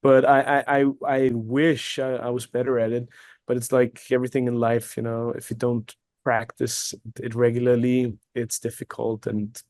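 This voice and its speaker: A man's voice: medium pitch, little pitch movement, normal speed, darkish tone, no room sound. Reflective German-native man, dry sound. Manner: reflective, repeats words while searching for phrasing. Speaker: guest, cigar company executive. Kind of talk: casual conversational interview.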